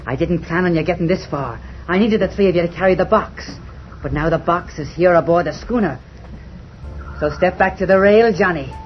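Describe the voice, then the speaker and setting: A treacherous, greedy sea rogue acted by a man in an old radio play. His highish, theatrical voice swoops and wheedles, on a dull recording with faint noise. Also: a little fast, close to an everyday voice. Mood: menacing. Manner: theatrical, wheedling, swinging from groans to roars. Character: Morgridge, greedy, treacherous male seafaring rogue. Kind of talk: 1950s old time radio drama acting.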